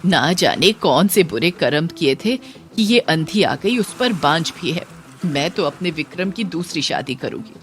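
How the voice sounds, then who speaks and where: A woman's voice: deep voice, lively intonation, unhurried, slight background noise. Woman putting on a haughty scolding mother-in-law. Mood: bitter, haughty. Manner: scolding, sneering, bossy. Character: scornful, haughty older village mother-in-law. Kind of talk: Hindi moral story dramatization.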